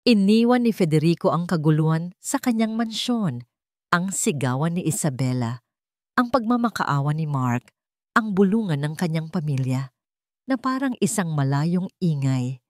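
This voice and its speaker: Low-voiced woman narrating dramatic fiction. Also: animated, moderate pace, a little mellow, dry recording, studio quality. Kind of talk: dramatic scripted story narration.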